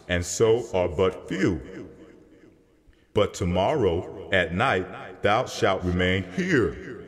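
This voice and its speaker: Man voicing a deep, echoing heavenly messenger. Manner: solemn, archaic scriptural phrasing. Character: solemn heavenly messenger speaking as a divine voice. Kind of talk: dramatized scripture reading. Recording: long hall reverb, crowd noise behind.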